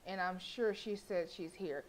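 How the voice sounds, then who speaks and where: A woman's voice: lowish voice, measured pace, a little hiss or hum, low-quality recording. A woman streamer reading a horror visual novel aloud, breaking off into joking, swearing asides. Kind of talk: reading game text aloud with asides to chat.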